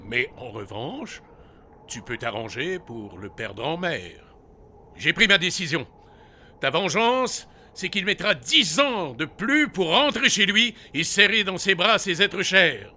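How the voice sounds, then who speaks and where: An actor playing Zeus, a blustering, fatherly king of the gods in a comic audio drama, giving booming commands. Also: very animated, takes it slowly, pushed and bright, heavily acted. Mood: commanding. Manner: booming commands, stammers when flustered.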